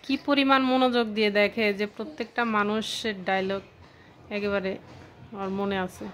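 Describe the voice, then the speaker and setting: A woman's voice: mid-range voice, level tone, moderate pace, fairly bright tone, a little hiss or hum. A woman filming a casual home video, asking her young son questions in a coaxing, curious way. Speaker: parent filming her child.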